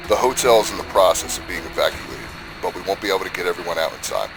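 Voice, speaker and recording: A man voicing a harried male police dispatcher heard over a radio, talking in a hurried, flustered way. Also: sits low, over music, pitched below the performer's own voice, poor audio.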